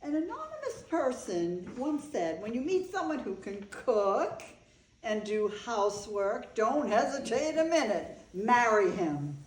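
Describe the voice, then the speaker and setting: Older bride, female stage reader: eccentric, chatty, earnest storyteller with a raised pitch, heard in an echoey room. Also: big pitch swings, a little slow, a little hiss or hum. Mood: affectionate. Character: Eve, eccentric older bride, affectionate, chatty animal lover. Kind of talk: staged reading of a play. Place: indoor room, staged reading.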